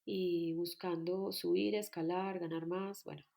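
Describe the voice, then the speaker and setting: A woman, a guest telling her life story in a calm, earnest, reflective way. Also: fairly low pitch, a little slow, vocal fry. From Colombia. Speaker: guest, personal growth coach. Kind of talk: reflective personal account. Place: at home near Bogotá.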